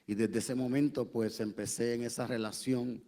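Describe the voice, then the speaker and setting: A man, a pastor, tells his faith testimony in a church interview in an earnest, halting way, pausing on many fillers and also interpreting his wife's words. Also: mid-range voice, fairly even intonation, warm tone. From Puerto Rico. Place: church sanctuary.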